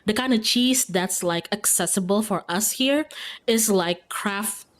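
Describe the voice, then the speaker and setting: A woman's voice: medium pitch, measured pace. A woman from Indonesia, chatting casually and informally as a livestreamer. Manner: informal, frequent "like", giggly. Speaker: streamer. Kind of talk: casual livestream chat while playing a visual novel.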